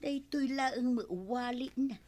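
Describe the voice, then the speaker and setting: An actress with an Ilocano Filipino accent playing a nagging, suspicious village wife in a radio comedy drama. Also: female voice, sits high, takes it slowly, a little mellow. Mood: puzzled, suspicious.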